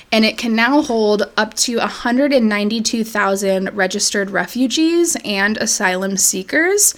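Lady on a podcast, just chatting thru a story, lots of ums and likes. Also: medium pitch. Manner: relaxed, filler-heavy retelling. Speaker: podcast co-host. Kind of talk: casual storytelling chat.

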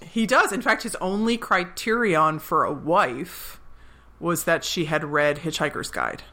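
A woman co-hosting a podcast in casual chat, playful and self-mocking, quick to laugh. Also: on the low side, bright, forceful tone. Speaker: podcast co-host. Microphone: remote call.

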